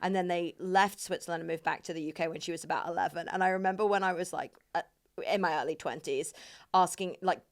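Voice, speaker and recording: A woman in her thirties hosting a podcast, chatting casually, laughing easily and agreeing quickly. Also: lowish voice, steady tone. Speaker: podcast host. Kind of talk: casual conversation.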